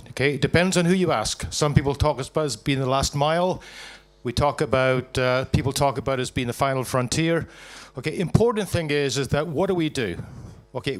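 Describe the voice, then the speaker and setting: A Scottish man giving a live startup pitch to an audience, jokey and punchy, asking and answering his own questions. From Scotland.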